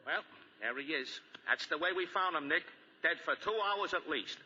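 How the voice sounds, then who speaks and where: An actor playing a brisk, matter-of-fact American police detective with clipped, slangy talk, in 1940s radio style. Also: male voice, a little high, lively intonation, loud music behind the voice, lightly acted. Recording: muffled, faintly noisy 1940 radio broadcast.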